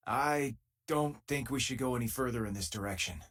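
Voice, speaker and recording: A man voicing an easygoing, wry spacefaring crewmate in a video game, with a husky voice and a casual, conversational delivery. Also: mid-range voice, a little fast, a bit bright, lightly acted. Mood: dry, easygoing. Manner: casual, conversational, dryly joking. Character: easygoing, wry male spacefaring crewmate, loyal and self-deprecating. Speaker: game companion character. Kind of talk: video game voice lines, naturalistic acting.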